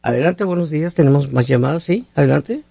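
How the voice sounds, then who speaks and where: A man's voice: a little high, lively intonation, fast talker, vocal fry, warm tone, slightly rough, no room sound. A man hosting a radio call-in show, chatting casually in a folksy, talkative way and often asking rhetorical questions. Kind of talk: casual opinionated on-air chat with callers.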